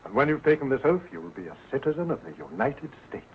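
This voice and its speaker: An actor playing a kindly, courteous judge who speaks formally, in an early television sitcom. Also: male voice, medium pitch, sing-song, fast, close to an everyday voice. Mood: kindly, official. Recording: tinny, noisy old 1940s broadcast.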